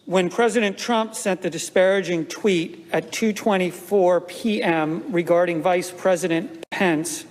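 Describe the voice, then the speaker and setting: A man's voice: high-pitched, steady tone, unhurried, hardly any pauses. A man reading questions aloud formally, as a clerk would in a legislative session. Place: large legislative chamber. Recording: echoing large chamber.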